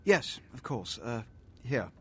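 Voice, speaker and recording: An actor playing a haughty, scheming vampire prince in a video game, speaking in a formal, commanding, theatrical way. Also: male voice, mid-range voice, sing-song, slow pace, bright, forceful tone, husky, exaggerated voice. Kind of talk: video game character acting.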